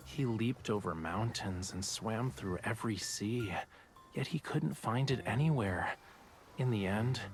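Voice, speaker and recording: An actor playing a playful young male love interest in a romance game, talking in a coy, teasing drawl. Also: on the low side. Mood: storytelling.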